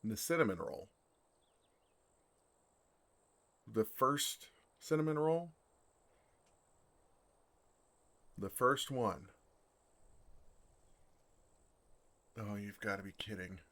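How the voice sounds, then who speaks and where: A man playing a bashful, lovestruck male dragon in human form for an ASMR fantasy roleplay, speaking in a halting, earnest way. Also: on the low side, expressive, fairly bright tone. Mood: flustered, embarrassed. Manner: flustered, halting, earnest delivery.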